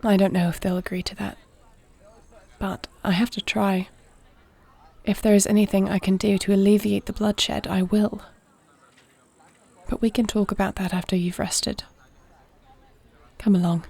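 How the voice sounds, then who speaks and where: A woman playing a composed, compassionate warrior princess in a fantasy audio roleplay, speaking formally and with measured care in a husky voice. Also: medium pitch, level tone, mellow. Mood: earnest, reassuring. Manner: measured, formal, solemn phrasing.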